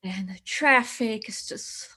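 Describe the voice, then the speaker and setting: A middle-aged woman with a Brazilian accent talks casually as an interview guest. Her phrasing is halting, and she laughs easily between thoughts. The sound comes through a video call. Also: fairly high pitch, sharp tone, a little hiss or hum. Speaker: guest artist.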